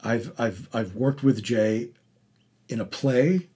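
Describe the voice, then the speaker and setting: A man's voice: mid-range voice, takes it slowly, dark tone, breathy edge. A man hosting a casual interview, talking in a hesitant way and repeating words as he goes. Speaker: host and theatre director-producer.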